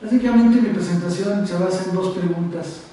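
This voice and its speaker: High-voiced Mexico City man in echoey hall. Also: dark tone. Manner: halting, with repeated words and trailing phrases. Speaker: activist speaker on a conference panel. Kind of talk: informal conference presentation. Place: university auditorium. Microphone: distant from the speaker. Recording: echoey room, distant mic, faint noise.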